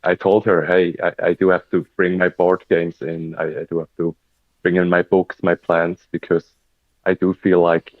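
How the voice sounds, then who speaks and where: A man's voice: low-pitched, flat delivery, normal speed, dry recording. A man from Germany with a German accent, a non-native English speaker chatting hesitantly as a guest over a dull mic. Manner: hesitant, repeats words. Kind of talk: casual chat.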